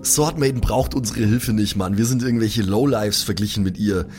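A man's voice: on the low side, moderate pace, darkish tone, faint music behind. A man playing a tabletop role-playing game, improvising casually in slang with English phrases mixed in.

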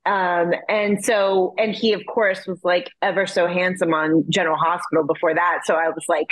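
A woman speaks a little low and chats easily as a podcast guest. She tells her stories in an animated, relaxed way. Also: moderate pace, poor audio. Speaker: actress, guest. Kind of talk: casual interview chat.

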